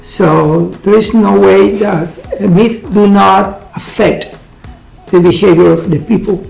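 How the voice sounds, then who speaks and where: A middle-aged man from Colombia, his first tongue, speaks slowly and with care in a heavily gravelly voice. He sits in a roomy, echoing space with faint music under him. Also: high voice, darkish tone. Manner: slow, halting, careful phrasing. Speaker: guest. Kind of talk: interview answers.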